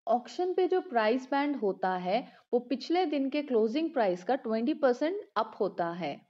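A woman walks through a trading app step by step in steady, scripted narration. Her voice sits fairly high. It is recorded in a roomy space with a slightly dull sound. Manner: steady, explanatory, step-by-step walkthrough. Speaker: finance channel host.

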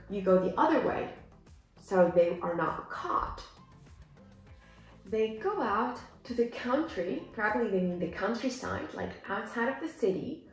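A young woman teaches English with a lively, swooping intonation and a slow delivery. She reads simple story lines and explains the words deliberately for learners. The room is very echoey around her voice. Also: a little high. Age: young adult. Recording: echoey room, music underneath. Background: background music.

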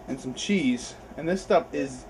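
Young male vlog host, easygoing kitchen chatter, slightly high voice, camera audio. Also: measured pace, a little mellow, a little hiss or hum, poor audio. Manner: chatty, loose and enthusiastic. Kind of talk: casual cooking vlog. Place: home kitchen.

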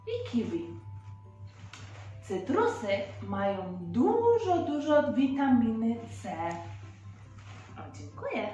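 A woman's voice: a little high, darkish tone. Woman teaching small children, very echoey room. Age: young adult. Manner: simple, explanatory, prompting with questions. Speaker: presenter of a children's Bible lesson. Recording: very echoey room, faint background music.

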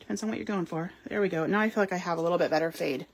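A woman's voice: fairly low pitch, very fast, husky. A woman giving a live card-making demonstration, chatting and explaining as she works, with frequent ums. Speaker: craft store demonstrator. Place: craft table at home or in a studio.

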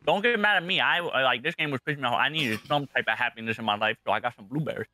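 A man's voice: medium pitch, racing, bright, forceful tone, a little hiss or hum. A young man chatting over voice chat during a game, rambling in a goofy way, half to himself. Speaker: friend in a game party. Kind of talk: casual gaming chat.

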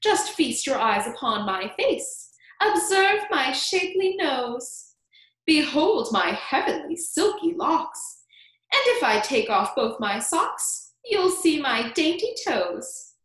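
Woman as boastful cruel aunt, storybook villain, high and swooping, drawn-out gushing delivery, roomy sound. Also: big pitch swings, a bit bright, full character voice. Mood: preening. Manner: gushing, drawn-out declaiming in rhyme. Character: vain, boastful, cruel fat older aunt.

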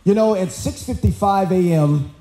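American male banquet host, high voice with lively swings, casual introduction in an echoey hall. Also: normal speed. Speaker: event host introducing speaker. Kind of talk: casual animated stage introduction. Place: large banquet hall, indoors. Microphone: podium microphone on boom stand.

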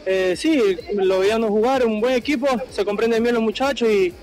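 A man's voice: sits high, normal speed, over music. A man speaking as a football player in an outdoor post-match interview. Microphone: handheld phone.

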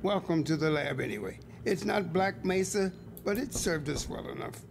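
An actor playing an older male scientist who warmly leads a lab, heard as game audio under live commentary. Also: sits high, moderate pace, vocal fry, noisy background. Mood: welcoming. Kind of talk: video game voice acting.

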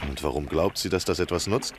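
A man's voice: low-pitched, brisk, slight background noise, close to an everyday voice. A man playing a courteous, scheming lodger in a German radio drama, questioning politely but insistently. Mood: coaxing, insistent.

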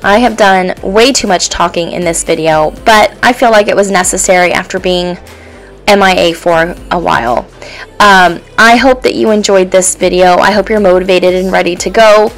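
A woman's voice: lowish voice, moderate pace, faint music behind. A woman in her thirties, a stay-at-home mom, chatting casually and encouragingly in a voice-over with plenty of ums.

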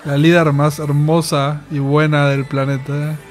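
A man's voice: on the high side, flat delivery, unhurried. A man in his thirties with an Argentine Spanish accent, giving casual, affectionate fan commentary as a reaction host, close on a studio microphone. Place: small indoor home studio. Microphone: close studio microphone on boom arm. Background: music.

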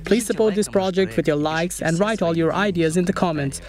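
A man's voice: high voice, a little fast, a little mellow. A young man explaining a building project step by step in a casual outdoor vlog in a forest. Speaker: vlogger building a treehouse.

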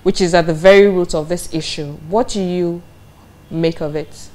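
A young Nigerian woman with a fairly low voice conducts a broadcast interview. She asks her questions in a formal style. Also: steady tone, moderate pace, mellow, poor audio. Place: office, indoors. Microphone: lapel microphone.

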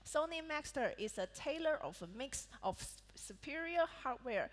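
A woman's voice: high-pitched, animated, normal speed. A woman with a Malaysian English accent, presenting a product keynote on stage and reading prepared points with small slips and restarts. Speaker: product manager presenting a laptop launch. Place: large event hall on a stage. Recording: reverberant event hall sound.

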